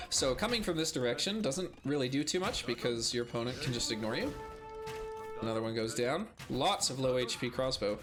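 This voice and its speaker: A man with a cold, hoarse and clearing his throat now and then, giving casual play-by-play commentary on a strategy game, calm analysis broken by excited exclamations. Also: mid-range voice, fairly quick, over music.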